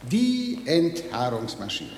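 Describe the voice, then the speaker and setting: In a cavernous, reverberant space, a slaughterhouse manager conducts a tour for a radio play. His highish voice swings widely in pitch. He is officious and pedantic, coaxing with a hidden threat underneath. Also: wildly swooping pitch, slow, a little mellow, slight background noise, exaggerated voice. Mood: coaxing, insistent. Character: evasive, menacing male slaughterhouse manager giving a tour. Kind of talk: radio drama acting. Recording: echoey hall with long reverb.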